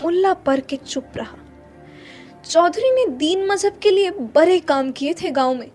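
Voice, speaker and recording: A woman with a high voice narrates literary fiction as a dramatic storyteller. Faint music plays behind her reading. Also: animated, a little slow, darkish tone.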